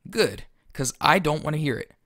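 An actor playing a weary, sardonic teenage schoolboy in an audio drama, speaking in a dry, reluctant way. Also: male voice, a little high, sing-song, moderate pace, a bit bright, exaggerated voice.

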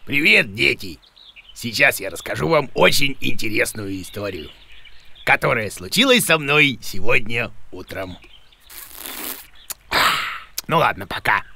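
A woman voicing a forgetful, blustering bearded cartoon creature, muddled and halting, with a gravelly voice, telling stories to children. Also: extremely low pitch, lively intonation, slight background noise, full character voice. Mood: chatty. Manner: muddled, halting, grumbling, exclamatory. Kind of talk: children's cartoon acting, rhymed storytelling. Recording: loud music behind the voice.

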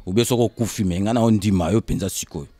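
A man in his fifties from Kinshasa with a Congolese accent, answering casually in short, halting, repeated phrases. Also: on the low side, dark tone. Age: 50s. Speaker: interview guest. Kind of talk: casual interview storytelling, switching between Lingala and French. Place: indoors on a sofa. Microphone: handheld microphone held by interviewer.

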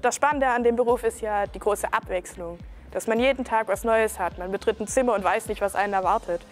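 A young woman, a nursing trainee, talking in a relaxed, matter-of-fact way. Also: mid-range voice, fairly quick, soft background music.

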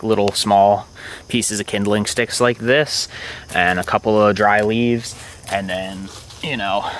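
A man's voice: lowish voice, a little hiss or hum. A young man talking casually and ramblingly as he shows his camping gear outdoors, with lots of fillers. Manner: relaxed and rambling, with many fillers. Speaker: motorcycle vlogger. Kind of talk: casual show-and-tell narration. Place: outdoors at a campsite.

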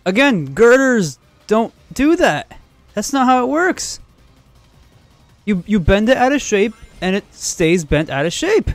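A man streaming live and joking through a game, his voice cracking and going hoarse, on a headset mic. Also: sits high, big pitch swings, no room sound. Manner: joking, sarcastic, quick asides, giggly. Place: home streaming room, indoors. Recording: game music in background.

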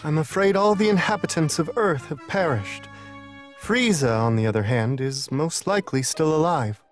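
A man's voice: a little high, moderate pace, soft background music, close to an everyday voice. A man voicing a refined, serene otherworldly attendant in a video game, polite and precise. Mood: composed.

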